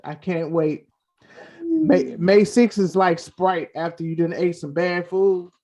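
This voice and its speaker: A man's voice: high voice, lively intonation, slow pace, dark tone. A man co-hosting a talk show with casual, playful banter, repeating phrases for emphasis.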